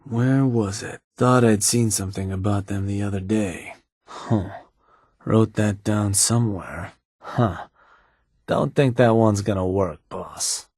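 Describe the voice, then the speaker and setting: Low-voiced raider fixer, dry and matter-of-fact, game dialogue, dead dry studio sound. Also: male voice, slow pace, a little mellow, breathy edge, no room sound, full character voice. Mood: businesslike. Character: laid-back male raider fixer serving his boss. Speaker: gang fixer taking orders.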